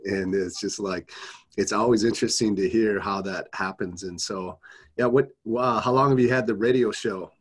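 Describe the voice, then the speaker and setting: A man interviewing a guest casually, speaking softly and hesitantly with many fillers. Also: fairly low pitch, moderate pace, breathy edge.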